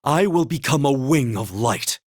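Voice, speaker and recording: A man playing an earnest young holy knight in a video game, with a husky voice and a measured, formal, noble delivery. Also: mid-range voice, animated, darkish tone, dry recording, clean studio sound. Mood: calm, earnest. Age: young adult.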